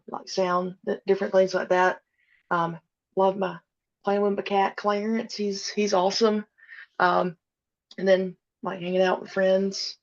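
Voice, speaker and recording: A young woman chatting as a podcast guest, easygoing and giggly, often restarting her sentences. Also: medium pitch. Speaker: podcast guest, audio drama creator. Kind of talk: casual interview chat. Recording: dull lo-fi sound.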